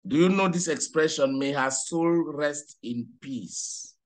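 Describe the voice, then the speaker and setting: A man's voice: on the high side, takes it slowly. A man tutoring English one-on-one online, patiently correcting a learner and explaining words in French.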